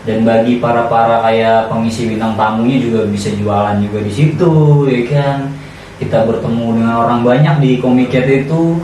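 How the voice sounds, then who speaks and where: A man's voice: mid-range voice, steady tone, moderate pace, darkish tone. A young man with a Jakarta-area Indonesian accent co-hosts a podcast in a casual, slangy, conversational way. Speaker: podcast co-host. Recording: echoey room, distant mic, faint noise.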